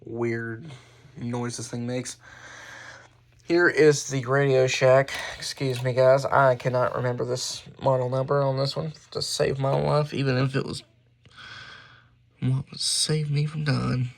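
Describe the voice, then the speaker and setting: A man presenting his hobby collection in a casual, unscripted way, halting and often correcting himself as he goes. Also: medium pitch, level tone, gravelly. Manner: halting, offhand, self-correcting. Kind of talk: casual unscripted show-and-tell.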